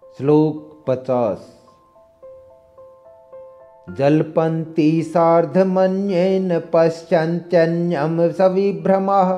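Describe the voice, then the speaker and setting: Guy narrating old verses real slow and solemn, kinda high voice, music playing behind. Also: mellow. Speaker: narrator reciting Sanskrit verses and explaining them. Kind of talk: scripted narration, verse recitation with prose explanation. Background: instrumental music.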